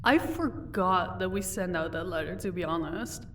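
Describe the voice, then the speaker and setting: A woman's voice: lowish voice, normal speed, a bit bright, natural voice. A woman playing a powerful, commanding vampire lady in an audio roleplay, taunting and condescending with composure. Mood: amused, explaining. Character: powerful female vampire, mocking, commanding, married lady of an estate. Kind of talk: audio roleplay acting addressed to the listener. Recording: distant microphone, faint background noise.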